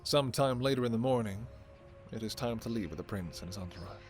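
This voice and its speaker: A man's voice: fairly low pitch, a little fast, soft background music. A man delivering scripted second-person narration for a fantasy story, as its narrator. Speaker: narrator and voice performer.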